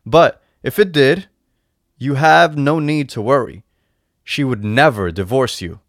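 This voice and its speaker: A man's voice: medium pitch, a little slow, no echo, studio quality, pitched above the performer's own voice, close to an everyday voice. A man voicing a sly old shopkeeper in a storybook tale, patient, persuasive and emphatic, savoring his words. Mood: reassuring. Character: sly old male shopkeeper selling potions, patient and coaxing. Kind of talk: storybook character voice in a read-aloud tale.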